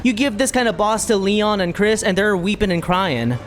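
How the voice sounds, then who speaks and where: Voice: mid-range voice, normal speed, over loud music. A game streamer giving casual live reactions to a playthrough, exclaiming theatrically and using folksy slang. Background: game soundtrack and sound effects.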